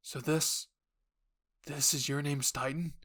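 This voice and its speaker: A man's voice: fairly high pitch, fairly even intonation, slow pace, a bit bright, slightly rough, heavily acted. Man acting out a brash young soldier. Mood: puzzled.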